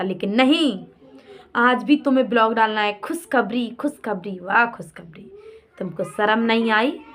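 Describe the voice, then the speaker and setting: A woman vlogger giving an unscripted, opinionated rant, indignant and scolding, pressing her points with rhetorical questions and repetition. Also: on the high side. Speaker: vlogger commenting on a personal drama. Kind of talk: unscripted opinionated rant addressed to absent people.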